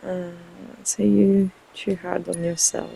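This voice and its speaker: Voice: mid-range voice, flat delivery, takes it slowly, vocal fry, mellow, a little hiss or hum, low-quality recording. A gaming video host giving casual, rambling, informal commentary on a playthrough, thinking aloud.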